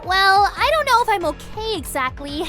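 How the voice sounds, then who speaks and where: A woman as a very high-voiced naive young lady. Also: very animated, moderate pace, pushed and bright, faint music behind, full character voice. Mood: flustered. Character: naive, chatty little lady in distress. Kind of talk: game fandub acting.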